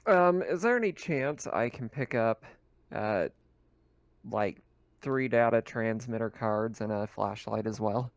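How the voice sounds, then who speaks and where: A man's voice: mid-range voice, measured pace, no echo. A man playing a tabletop game, chatting casually with the group. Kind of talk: casual chat during a game.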